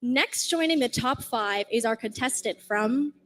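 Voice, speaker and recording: A woman's voice: on the high side, animated, measured pace, faint music behind, low-quality recording. A woman hosting a live pageant broadcast, calling out each contestant in an upbeat, projecting way. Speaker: pageant host.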